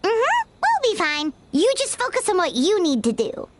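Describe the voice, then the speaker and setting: A woman voicing a tiny floating female companion creature in a game, with a high, chirpy, excitable, childlike way of talking. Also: moderate pace, background noise, full character voice. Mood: confident. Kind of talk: game voice acting. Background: game music.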